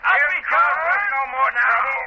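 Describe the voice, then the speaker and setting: An old scratchy radio recording carries a mutinous sailor, very high and creaky. He is rough and impatient, barking orders with violent urgency in 1930s radio style. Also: a little fast, pushed and bright, dull audio, exaggerated voice. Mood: violent, urgent. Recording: scratchy band-limited 1939 radio recording.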